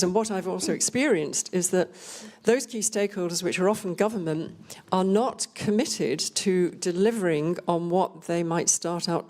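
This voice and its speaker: An older woman from Scotland giving an unscripted panel talk in a measured, explanatory way with emphatic repetition. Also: medium pitch, a little mellow. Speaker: panel speaker on rural communities.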